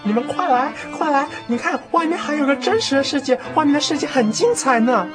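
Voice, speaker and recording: High-voiced, naive, excitable rookie boy. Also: a little fast. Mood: excited. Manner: stammering, breathless repetition of words. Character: naive young male cinema-dweller rookie, excitable and wide-eyed. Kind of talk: radio drama acting. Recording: music behind the voice.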